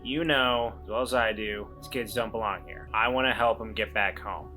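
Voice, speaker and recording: A man playing a wry, weary but determined man turned weasel, speaking haltingly in a tabletop roleplay. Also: fairly low pitch, pushed and bright, faint music behind. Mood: resolute. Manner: halting, stammering.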